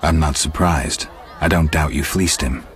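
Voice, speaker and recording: An actor playing a gruff, stoic monster hunter for hire in a video game, speaking in a terse, deadpan way. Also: male voice, a bass voice, a little slow, some chatter behind. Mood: dry, wary. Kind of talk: video game dialogue acting.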